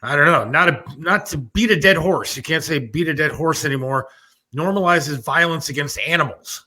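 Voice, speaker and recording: Male podcast host with a bright, forward voice pitched a bit high, briskly running through a list. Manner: brisk, reads items off a list. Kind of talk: casual podcast chat.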